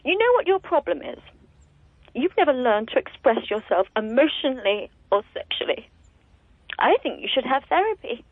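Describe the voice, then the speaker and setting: An actress playing a blunt, resentful estranged wife in a radio comedy drama, speaking reproachfully. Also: female voice, high voice, big pitch swings, normal speed, no echo, heavily acted. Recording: tinny, phone-like, dry.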